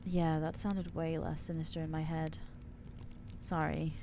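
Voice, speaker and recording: A jittery young vampire woman, acted out by a woman in an ASMR audio drama, stammering through awkward, self-mocking rambles. Her voice is soft and mellow, and she takes her time with every halting phrase. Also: low voice, level tone, slight background noise. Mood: wistful.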